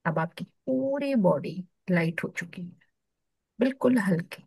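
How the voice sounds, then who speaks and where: A woman with an Indian accent leading a guided meditation, soothing and hushed at the ends of phrases, leaving long pauses. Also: fairly low pitch, animated, vocal fry, breathy edge, no room sound, low-quality recording.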